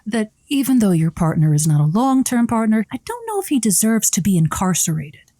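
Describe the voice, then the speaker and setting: A woman's voice: mid-range voice, sing-song, brisk, warm tone, no echo, studio quality. A woman playing a well-meaning, easily flustered radio advice host in a comedy show, hesitant and diplomatic as she talks. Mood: coaxing. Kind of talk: improvised comedy call-in show acting.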